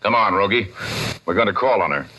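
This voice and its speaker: An actor playing a sardonic homicide lieutenant who presses hard, with an American accent, in a 1940s radio drama. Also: male voice, lowish voice, expressive, brisk, over loud music, lightly acted. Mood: sardonic, pressing. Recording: dull 1940s radio recording.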